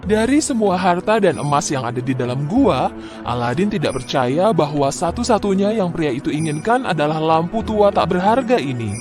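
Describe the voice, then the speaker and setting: A man narrating a scripted children's fairy tale in an expressive, dramatic way. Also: on the high side, moderate pace. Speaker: storyteller. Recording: music behind the voice.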